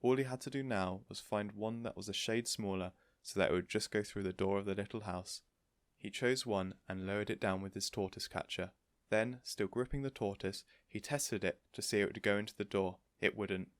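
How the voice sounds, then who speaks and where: A man with a fairly low voice reads a children's story aloud. It is scripted audiobook narration, recorded dry with very little room sound. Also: fairly quick, no room sound. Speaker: audiobook reader.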